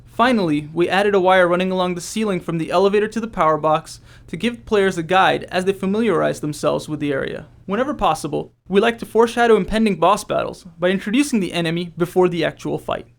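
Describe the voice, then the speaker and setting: A man's voice: high voice, quick pace, gravelly, hardly any pauses. A man giving scripted commentary as a game developer.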